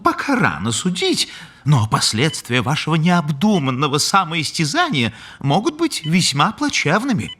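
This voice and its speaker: High-pitched, swooping, fussy old cartoon scholar. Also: male voice, huge pitch swings, moderate pace, fairly bright tone, slightly rough, dry recording, full character voice. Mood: didactic. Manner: formal, didactic, fussy wording. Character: pedantic older male cartoon animal scholar. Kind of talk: expressive educational cartoon acting.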